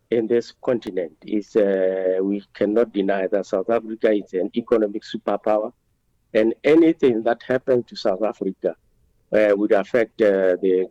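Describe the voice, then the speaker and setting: Mellow, halting Botswanan man, dull recording. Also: lowish voice, dry recording. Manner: halting, deliberate, hesitant phrasing. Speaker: member of parliament being interviewed. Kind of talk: political radio interview.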